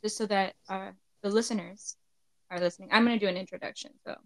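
Host: woman, youthful-sounding voice with vocal fry, faint guitar behind. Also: medium pitch, steady tone, fast talker, a bit bright. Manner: sounds younger than her age. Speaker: podcast host. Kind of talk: podcast intro and casual interview.

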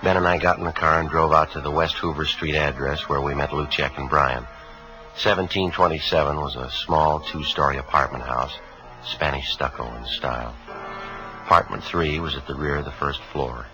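A terse police sergeant with an extremely low voice. He speaks in clipped, deadpan phrases, in the manner of a 1950s radio crime drama. Music sits behind the vintage recording. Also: moderate pace, poor audio. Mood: deadpan, factual. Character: terse, businesslike police sergeant narrating his cases. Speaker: lead actor and narrator.

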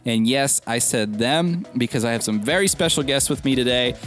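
A man's voice: mid-range voice, expressive, moderate pace, music in the background. Upbeat young man hosting a podcast. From Nebraska. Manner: upbeat, enthusiastic, rambling. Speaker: podcast host. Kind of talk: casual podcast interview.

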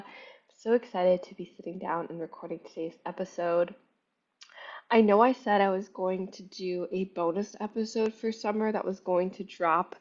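Young woman podcast host, lowish voice, slightly raspy, chatty and upbeat, dull lo-fi recording. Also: darkish tone. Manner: chatty, upbeat, many "like" and "you know" fillers. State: slightly raspy from recovering from illness. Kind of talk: casual solo podcast chat. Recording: dull lo-fi sound.

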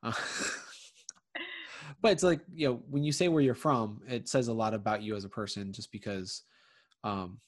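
A man from Connecticut in casual conversation, his voice sitting at an ordinary middle pitch. He rambles, piling up fillers and restarting his sentences as he talks. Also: unhurried. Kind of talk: casual chat.

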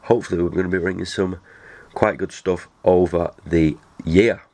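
A man's voice: low voice, fairly even intonation, moderate pace, a little mellow. A man hosting a podcast, chatty and rambling, a bit nasal from a cold. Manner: rambling, chatty, many restarts. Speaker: podcast host. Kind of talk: casual chat.

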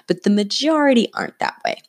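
Creaky-voiced woman podcaster, candid. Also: mid-range voice, huge pitch swings, fast. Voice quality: slightly scratchy early-morning voice. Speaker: podcast host. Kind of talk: casual solo podcast monologue.

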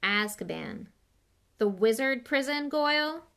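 Woman voicing a sneering, spoiled schoolboy with creaky edge. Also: medium pitch, expressive, normal speed, a bit bright. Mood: disbelieving, scornful. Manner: scornful, impatient, boastful. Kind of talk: audiobook character voice.

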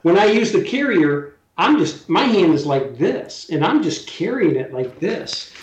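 A man's voice: high-pitched, sing-song, unhurried, echoey room, highs cut off. A man in his sixties, a drywall taper, chatting casually as an interview guest and explaining his work in hands-on detail. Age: 60s.

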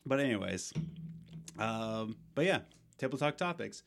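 Young male podcast co-host, slightly low voice, loose rambling delivery with fillers. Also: lively intonation, measured pace, creaky, fairly bright tone, faint music behind. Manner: rambling, many fillers and restarts. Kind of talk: casual gaming podcast chat.

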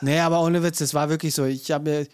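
A man's voice: fairly high pitch, flat delivery, quick pace, darkish tone, smooth. A man talking casually as a podcast co-host, telling anecdotes in a slangy, repetitive way. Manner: slangy, colloquial, repeats himself.

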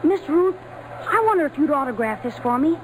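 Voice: sits high, expressive, a little fast, a little hiss or hum, low-quality recording. An actor playing a shy, eager young boy, polite and hesitant, in 1940s radio drama style. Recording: old radio recording with stadium crowd.

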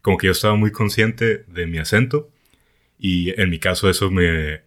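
A young Mexican man with a Mexican accent, hosting a casual podcast in a relaxed, slangy way with lots of fillers. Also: low-pitched, normal speed. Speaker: podcast host.

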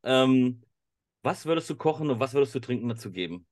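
German male co-host, casual and musing, average pitch. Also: expressive, brisk, darkish tone. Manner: thinks aloud with fillers. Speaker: podcast co-host. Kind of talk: casual podcast chat.

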